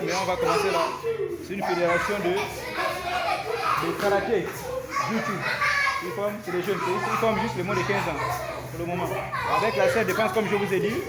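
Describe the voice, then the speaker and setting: A man coaching self-defense, speaking casually and haltingly to his followers from a karate dojo and repeating words as he goes. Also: high voice. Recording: loud crowd noise behind. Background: crowd of young students.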